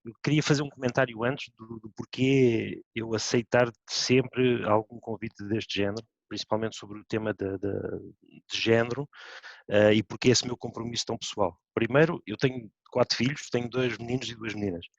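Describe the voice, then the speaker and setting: A middle-aged man from Portugal with a European Portuguese accent, speaking as a bank executive on an online panel and pausing on many fillers. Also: medium pitch, steady tone, no echo, muffled recording. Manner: hesitant, many fillers and restarts. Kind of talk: panel discussion. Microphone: video call.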